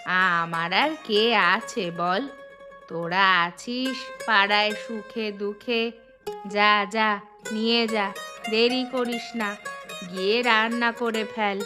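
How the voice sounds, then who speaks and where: A woman's voice: medium pitch, fairly even intonation, takes it slowly, close to an everyday voice. A woman voicing a quarrelsome but kind elderly village aunt in a cartoon. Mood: kindly. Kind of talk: Bengali village cartoon dubbing. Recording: music behind the voice.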